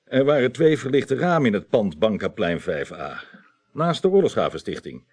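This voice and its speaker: A dutiful detective in a radio play, with a husky, mid-pitched voice. He reports to his superiors respectfully and precisely, with lively intonation. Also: normal speed. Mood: earnest, precise. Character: dutiful, deferential male detective reporting on surveillance. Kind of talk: 1980s Dutch radio drama acting.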